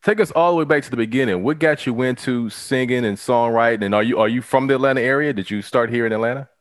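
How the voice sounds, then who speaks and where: A laid-back man hosts a casual interview and keeps his replies short and friendly. He talks quickly at a middle pitch. The sound is dry and close. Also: no room sound. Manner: laid-back, brief affirmations. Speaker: interviewer host.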